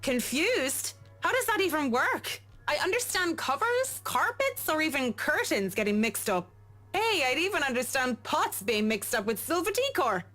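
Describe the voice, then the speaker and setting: High-pitched, wry young woman. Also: sing-song, normal speed, bright, forceful tone, faint music behind, heavily acted. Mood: puzzled, wry. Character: Marianne, young female architect, wry and jumpy. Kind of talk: visual novel game voice acting.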